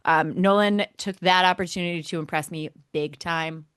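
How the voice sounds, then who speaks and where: Female podcast co-host, slightly low register, conversational and emphatic sports chat, dry recording. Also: steady tone, moderate pace, fairly bright tone, smooth, no echo. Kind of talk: casual sports discussion.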